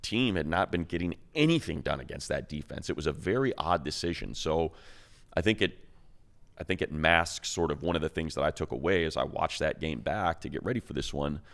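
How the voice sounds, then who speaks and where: A man's voice: rumbling low, animated, a little fast, vocal fry, a bit bright. A man co-hosting a sports show, giving analysis in a casual conversational way.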